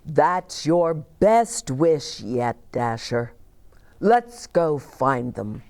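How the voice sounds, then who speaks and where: A woman voicing a kindly, jolly old Santa from a storybook, with a drawn-out, emphatic delivery. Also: low-pitched, very animated, a little mellow, full character voice.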